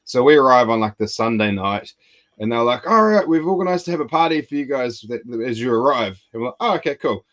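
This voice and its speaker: A man from New Zealand co-hosting a podcast, telling relaxed, casual anecdotes. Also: medium pitch, fairly quick, lo-fi sound. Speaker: podcast co-host.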